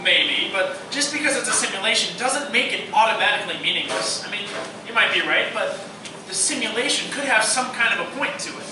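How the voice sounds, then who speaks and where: Young guy acting nerdy earnest college kid, highish voice, echoey live radio play. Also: expressive, a little fast, distant mic. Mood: earnest, intrigued. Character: bookish, earnest male college student, sci-fi fan. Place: live performance room, distant mic. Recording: echoey, noisy live theatre recording from afar.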